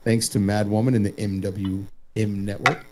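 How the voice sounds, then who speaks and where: A man's voice: fairly low pitch, steady tone, normal speed, background noise. A man hosting an online show with casual, joking, rambling, self-deprecating chat. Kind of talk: casual chat between broadcast segments.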